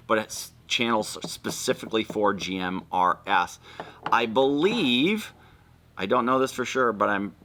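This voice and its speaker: A man's voice: on the low side, pushed and bright, slight background noise. A man hosting a casual product walkthrough, explaining things informally and step by step. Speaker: video host.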